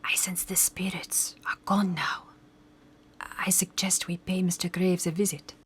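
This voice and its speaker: Slow, low, half-whispering woman as spirit medium. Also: little pitch movement, fairly bright tone, exaggerated voice. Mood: solemn. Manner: grave, deliberate, breathy half-whisper. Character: mysterious, solemn female spirit medium. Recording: faint noise, rain sound effects.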